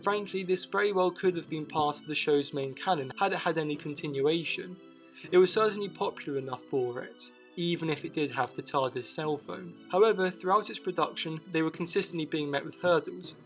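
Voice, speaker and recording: A man's voice: on the high side, fairly quick, faint music behind. A man reading scripted narration for a documentary-style video essay.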